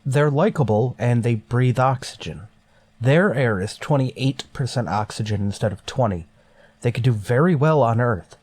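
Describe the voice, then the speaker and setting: An actor playing a stern, resolute starship captain in a sci-fi radio drama, speaking with clipped authority. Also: male voice, medium pitch, moderate pace, a little mellow, slightly rough, close to an everyday voice. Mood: stern, grave. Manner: authoritative, clipped, reasoning aloud. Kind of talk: golden age sci-fi radio drama acting.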